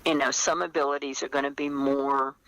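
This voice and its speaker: An older woman talking conversationally as an interview guest, explaining her work as a writer in a thoughtful, halting manner. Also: a bass voice, level tone, fairly bright tone, rough recording.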